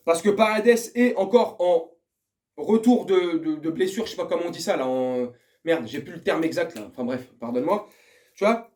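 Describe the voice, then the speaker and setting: A man's voice: high-pitched, a little mellow. A man giving a casual, slangy football rant to his viewers, swearing and speaking to them as a friend. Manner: slangy, profane, addresses viewer familiarly. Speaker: football vlogger.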